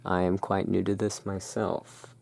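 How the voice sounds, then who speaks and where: A person speaking in a halting, musing way, explaining things as the owner of a gothic curiosity shop in an ASMR roleplay. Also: sits low, level tone, gravelly, a little mellow, slight background noise. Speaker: roleplaying host. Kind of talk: ASMR roleplay, showing tarot cards.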